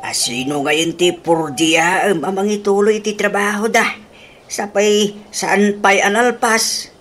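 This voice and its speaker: A woman's voice: medium pitch, unhurried, a bit bright, slight background noise, lightly acted. A woman acting as a grumbling, concerned elderly father in a comedy radio drama, fretful and chiding.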